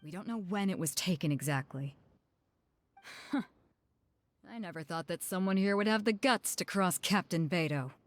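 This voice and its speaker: An actress playing a spirited, confident and showy tournament announcer in an anime-style video game. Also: female voice, fairly low pitch, measured pace, pauses often, no room sound, natural voice. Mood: serious.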